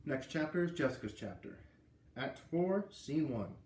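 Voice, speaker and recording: A man's voice: a little high, expressive, a little slow, a little mellow, roomy sound. A man with an American accent reading a children's book aloud, with casual asides. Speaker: read-aloud reader.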